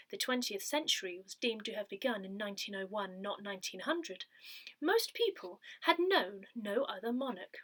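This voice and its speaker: A woman with a fairly high, bright voice reads a newsletter aloud. Her delivery is scripted and measured, with clear phrasing and lively rises and falls in pitch. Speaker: reader of an audio newsletter.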